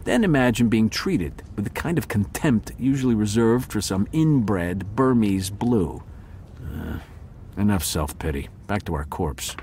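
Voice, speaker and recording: A sleek feline gumshoe narrates a noir radio play in a low voice. The delivery is wry, hard-boiled and deadpan, yet very animated in pitch. Some background noise sits under it. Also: male voice, a little slow. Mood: wry, self-pitying. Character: sleek, wry, world-weary male cat private detective. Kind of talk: noir detective audio drama narration.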